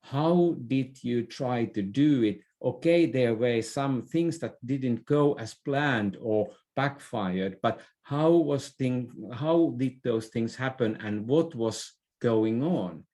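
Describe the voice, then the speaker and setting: A man's voice: medium pitch, lively intonation, slow, hardly any pauses, mellow. An older man giving thoughtful interview answers, often repeating a word as he searches for phrasing. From Finland. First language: Finnish. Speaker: interview guest, business leader and former theatre director.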